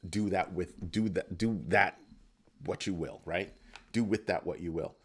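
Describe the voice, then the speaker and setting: Male livestream host, low voice, animated and joking with his chat. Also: a little slow, breathy edge. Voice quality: deep voice. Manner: animated, playful, reacting to chat comments. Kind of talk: casual livestream chat with viewers.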